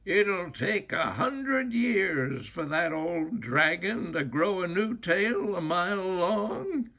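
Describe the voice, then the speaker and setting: A man voicing a feisty, gloating old witch from a children's storybook, with scolding, drawn-out exclamations. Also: high voice, animated, bright, forceful tone, voice pushed up, full character voice. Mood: gleeful.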